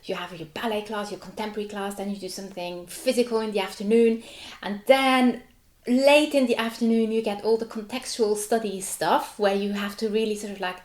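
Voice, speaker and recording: A woman's voice: mid-range voice, darkish tone, echoey room. A Swiss woman in her forties, German her first language, a contemporary dancer chatting animatedly in an interview with lots of "like" fillers and laughs. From Switzerland. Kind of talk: casual interview chat.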